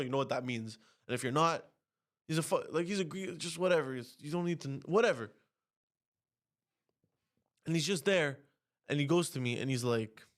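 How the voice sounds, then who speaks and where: A young man with a stuffy, nasal voice talks straight to camera in a rambling podcast monologue. He swears constantly and his pitch climbs sharply when he gets worked up. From Canada. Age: young adult. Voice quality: nasal, congested. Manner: profane, rants with rising pitch. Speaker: podcast host. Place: home, on camera.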